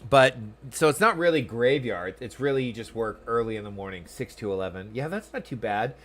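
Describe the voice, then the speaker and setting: An American man streams a video game from home, talking in a loose, rambling way. He pokes fun at himself and chats with his viewers in a medium-pitched voice. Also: animated, a little fast, fairly bright tone. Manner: chatty, rambling, self-deprecating, reads chat aloud. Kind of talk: casual livestream chat over gameplay. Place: small room at home.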